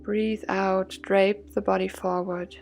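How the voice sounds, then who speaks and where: Slow, slightly creaky female yoga guide. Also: medium pitch, level tone, soft background music. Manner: soothing, gently measured phrasing with breath cues. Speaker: yoga and meditation instructor. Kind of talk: guided yoga and gratitude meditation class, calm instruction.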